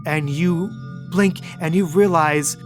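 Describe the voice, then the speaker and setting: Gm narrating spooky dream stuff, calm and steady, music in the back. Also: mid-range voice, slow. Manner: calm, eerie descriptive narration. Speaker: game master. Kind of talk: narrating a tabletop game.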